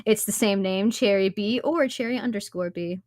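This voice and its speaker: A woman's voice: medium pitch, moderate pace, darkish tone, no room sound, clean studio sound. A woman who streams, talking as an upbeat, encouraging guest on a podcast. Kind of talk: casual podcast chat.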